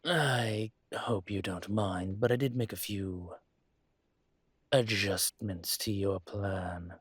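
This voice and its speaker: A man voicing a calm, courteous dragon mafia boss in a roleplay audio, speaking in a husky, measured, polite way. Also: on the low side, no echo, heavily acted. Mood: calm, assured. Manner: measured, polite, deliberate with pauses. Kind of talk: scripted roleplay audio monologue to listener.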